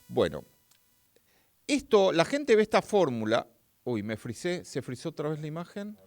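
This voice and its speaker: A man's voice: a little high, big pitch swings, moderate pace, a little mellow, dry recording. A man with an Argentine accent giving a chatty, live-streamed physics lesson, talking straight to his viewers. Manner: chatty, talks straight to viewers and reads their chat. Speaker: physics teacher.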